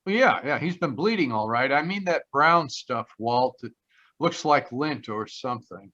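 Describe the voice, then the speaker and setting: An actor playing a flippant, wry ex-cop private detective in a 1940s-style radio play. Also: male voice, mid-range voice, lively intonation, a little slow, sharp tone. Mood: dry, probing. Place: remote live performance over video call. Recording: dull, lo-fi video call audio.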